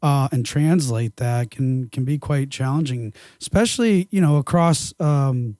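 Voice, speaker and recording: A man's voice: mid-range voice, measured pace, no echo, clean studio sound. A man hosting an interview podcast, talking loosely with fillers and restarts. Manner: rambling, relaxed, with filler words. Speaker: podcast host. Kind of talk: casual interview talk.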